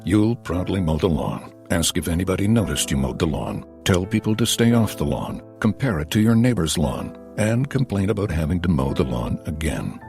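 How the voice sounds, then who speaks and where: A man reading a comedic commercial script in a deadpan manner. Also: low-pitched, normal speed, vocal fry, few pauses, faint music behind. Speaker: commercial narrator.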